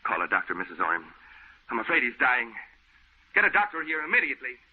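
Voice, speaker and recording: A man's voice: mid-range voice, sing-song, fast talker, breathy edge. An actor playing a skeptical, down-to-earth man in a conversational, matter-of-fact old-time radio drama style. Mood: alarmed. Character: skeptical, down-to-earth male friend, exasperated. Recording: tinny, hissy old radio recording.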